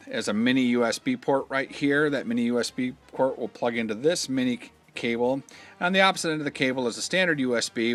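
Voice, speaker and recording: A man giving an informal, step-by-step product demonstration, explaining in a halting way as he goes. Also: lowish voice, a bit bright. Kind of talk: hands-on instructional demonstration.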